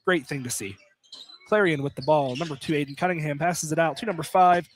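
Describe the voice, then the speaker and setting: A man's voice: fairly high pitch, brisk. A man calling live college basketball play-by-play in clipped phrases, naming players by number. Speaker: play-by-play announcer. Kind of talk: live basketball radio commentary. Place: indoor college field house, courtside. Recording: noisy live gymnasium broadcast.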